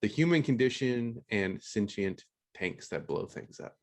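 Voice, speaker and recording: A man's voice: lowish voice, expressive, measured pace, a little mellow. A man hosting a podcast in a casual style. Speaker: podcast host.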